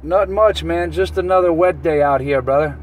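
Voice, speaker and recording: A man's voice: a little high, moderate pace. A man making casual chat as an operator on a CB radio. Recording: distant CB radio with heavy noise.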